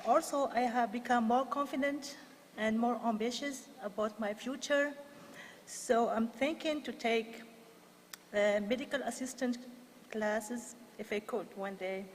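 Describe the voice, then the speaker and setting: A woman's voice: a little high, slight background noise. Hesitant Moroccan woman speaking in echoey hall. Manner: halting, hesitant delivery. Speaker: English student giving a testimonial. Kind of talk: simple prepared public speech. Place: large indoor hall, public event.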